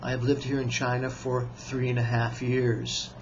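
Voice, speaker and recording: An American man in his fifties, a writer introducing himself on his video channel in a slow, measured way, with frequent hesitant pauses. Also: medium pitch, monotone, highs cut off, noisy background. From United States. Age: 50s. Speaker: writer and vlogger. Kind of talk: self-introduction to camera.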